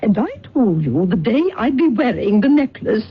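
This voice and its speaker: An actress playing a gracious, excitable society lady with a breathy, husky voice in a radio drama. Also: fairly high pitch, moderate pace. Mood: gracious, excited. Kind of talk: old-time radio drama acting. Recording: dull old radio recording.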